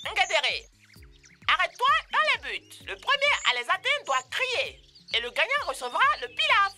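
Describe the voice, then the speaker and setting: A woman voicing a motherly bird teacher in an educational children's cartoon, giving playful, animated instructions. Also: very high voice, moderate pace, music in the background, exaggerated voice. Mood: commanding, excited.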